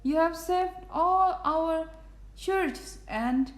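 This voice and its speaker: A tiny storybook mayor, played by a woman, speaks in a high, piping voice. The delivery is slow, polite and earnestly grateful, as if every word matters. The sound is roomy. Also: faint music behind, full character voice.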